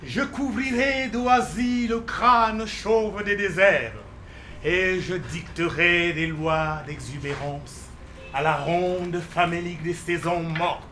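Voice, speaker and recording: A man's voice: high voice, slow, pushed and bright, a little hiss or hum. A man giving a theatrical poetry recital in a solemn, dramatic, declamatory manner. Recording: roomy echo, faint music behind.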